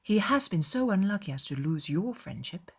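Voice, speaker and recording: A woman's voice: medium pitch, big pitch swings, moderate pace, breathy edge. A woman voicing a witty, outspoken young lady with an arch, articulate and emphatic manner in a dramatized audiobook. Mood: pointed.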